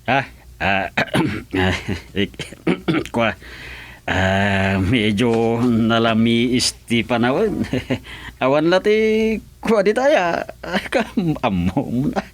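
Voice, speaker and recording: A man's voice: medium pitch, animated, extremely slow. A male actor with an Ilocano Filipino accent playing a hesitant, stammering gossip in a comic radio drama. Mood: hesitant, gossipy. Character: hesitant gossiping gentleman, Mister Kaasay, bringing foundation news.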